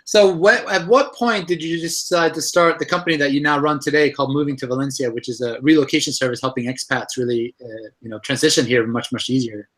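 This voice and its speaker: A man's voice: mid-range voice, steady tone, brisk, few pauses, a bit bright. A man hosting an interview show, asking questions and reacting with eager interjections. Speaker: host. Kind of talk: interview host.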